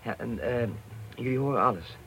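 An actor in a Dutch radio drama playing a timid, nervous man who speaks hesitantly and haltingly. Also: medium pitch, a bit bright, slight background noise, lightly acted, poor audio. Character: nervous, timid man reluctantly helping police.

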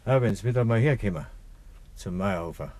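An actor playing a wary Bavarian village garage owner in a dialect radio play, husky and halting as he probes. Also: lowish voice, animated, a little mellow, close to an everyday voice.

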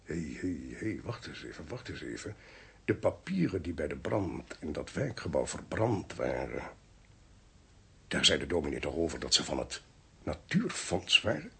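A man playing a shrewd, theatrical Dutch police chief in a radio drama, explaining things deliberately and wryly. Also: extremely low pitch, big pitch swings, vocal fry, slightly rough, heavily acted. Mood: pondering, intrigued. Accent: Dutch (Netherlands).

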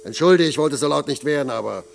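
An actor playing a blunt, patronising middle-aged factory foreman with a gravelly voice in a German radio play. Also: a little high, expressive, fast talker, slight background noise. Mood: angry. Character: gruff paternal older factory foreman.

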